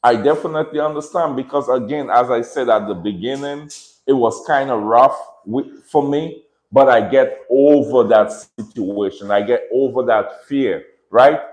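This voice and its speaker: A man's voice: mid-range voice, normal speed, darkish tone. A man from the Caribbean with a Caribbean accent, hosting a casual livestream from home. He talks in an earnest, reflective way, repeats phrases and often checks in with "right?". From Dominica, raised in Saint Martin. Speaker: livestream host and dog breeder. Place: room at home with dogs. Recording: echoey room.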